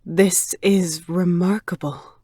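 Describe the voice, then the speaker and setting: A woman voicing a composed, cautious wand-wielding companion in a fan dub, speaking in a measured, formal, reserved way. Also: fairly low pitch, steady tone, dark tone, no room sound, clean studio sound. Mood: impressed.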